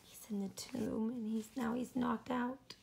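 A woman reading a children's story aloud, deliberate and explanatory, with simple asides for young listeners. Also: a little high, steady tone, gravelly, darkish tone. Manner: slow, deliberate, explains pictures and words to children.

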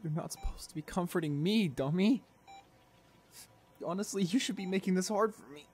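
A man playing a tender, remorseful young boyfriend in an ASMR audio roleplay, speaking softly and haltingly with emotion. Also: high voice, a little hiss or hum, close to an everyday voice. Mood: disbelieving, guilty. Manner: halting, soft-spoken, emotional delivery with pauses. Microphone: close studio condenser microphone.

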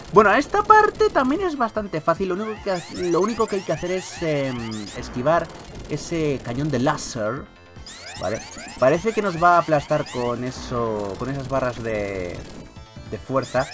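A man with a Peninsular Spanish accent doing casual, joking, mildly sweary Let's Play commentary as a gaming video host. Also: medium pitch. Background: video game music.

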